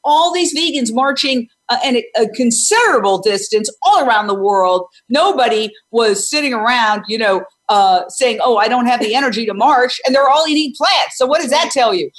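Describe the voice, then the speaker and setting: A woman's voice: fairly high pitch, animated, moderate pace, few pauses. A woman in her sixties hosting an interview, talking energetically and emphatically, often posing questions and answering them herself. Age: 60s. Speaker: host; former news reporter and animal rights activist. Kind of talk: energetic interview and advocacy talk.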